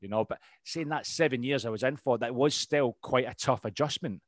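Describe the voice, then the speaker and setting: A Scottish man in his thirties talking as an interview guest, with a rambling, easygoing way of speaking full of ums and restarts. His voice sits at an everyday middle pitch. The sound is close and dry, with no room echo. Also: brisk. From Scotland. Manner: rambling, lots of ums and restarts, laughs easily. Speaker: podcast guest, RAF veteran. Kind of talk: casual interview chat.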